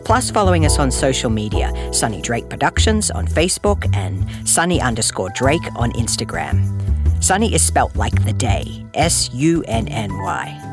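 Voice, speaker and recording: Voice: medium pitch, sing-song, moderate pace. A podcast host reading a scripted outro and credits in a chatty, informal way. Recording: music under the voice. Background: music.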